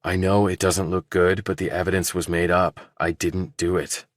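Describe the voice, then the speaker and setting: A man acts out a desperate young man suspected of murder, very low in pitch. He is blunt, earnest and insistent, as audiobook dialogue in a dry recording. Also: little pitch movement, moderate pace, no room sound, lightly acted.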